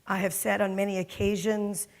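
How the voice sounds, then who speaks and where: A woman's voice: mid-range voice, moderate pace. A middle-aged woman from Miami speaking on a conference panel, telling her career story with lots of fillers. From Miami, Florida. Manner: lively storytelling with frequent fillers and laughs. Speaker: panelist, corporate security executive. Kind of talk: panel talk. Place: conference hall.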